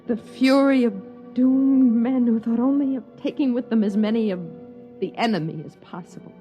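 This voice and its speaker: An actress playing a weary, frail young wife in a 1950s radio drama, with a brooding, theatrical delivery. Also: female voice, fairly high pitch, normal speed, lightly acted. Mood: grim, haunted. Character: weary, frail, submissive young wife in post-nuclear shelter. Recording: muffled vintage radio recording with music.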